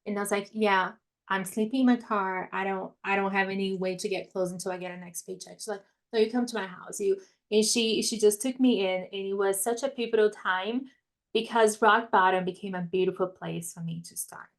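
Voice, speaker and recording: A woman's voice: medium pitch, steady tone, a little fast. A woman from Brazil with a Brazilian accent, a money mindset coach who tells her story as a podcast guest and laughs often. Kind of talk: podcast interview, personal story.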